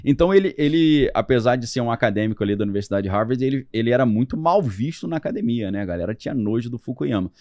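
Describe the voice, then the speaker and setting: A man at a middle pitch, talking through history in a relaxed, chatty way. He leans on slang and fillers as he explains. His voice is recorded dry and close, with no room sound around it. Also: fast talker, hardly any pauses, no echo. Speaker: podcast guest. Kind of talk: casual conversational explanation.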